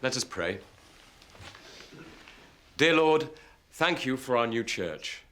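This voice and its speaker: A medium-pitched man's voice rings in an echoey room. It is an actor as a solemn, kindly vicar in a TV drama, leading prayer with measured earnestness. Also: a bit bright, lightly acted.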